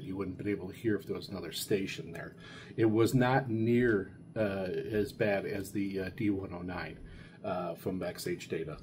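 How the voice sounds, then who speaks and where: A man giving a casual, unscripted product review as a video host, rambling haltingly and often stammering over repeated words. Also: fairly low pitch. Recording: steady background noise.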